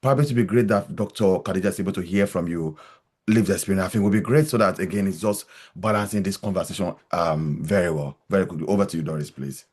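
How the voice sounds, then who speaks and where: Very deep-voiced male moderator, rambling and hesitant. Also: fast, a little mellow, slightly rough. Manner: rambling, hesitant, filler-heavy. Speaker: panel moderator. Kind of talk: moderating a panel discussion.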